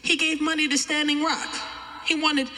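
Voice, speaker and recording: A twelve-year-old American boy performing spoken-word poetry with emphatic, deliberate oratory. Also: very high voice, little pitch movement, sharp tone, faint music behind. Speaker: young poet reciting. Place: large chapel, live audience event. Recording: echoey large chapel, long reverb.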